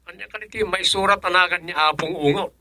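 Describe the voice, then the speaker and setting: A caring, exasperated young Ilocano fellow in a radio comedy serial. His voice sits fairly high and sounds close and dry, with an Ilocano accent. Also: quick pace, sharp tone, no room sound, full character voice. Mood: subdued, explaining. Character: exasperated, caring younger man tending a senile elder. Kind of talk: Ilocano radio drama acting.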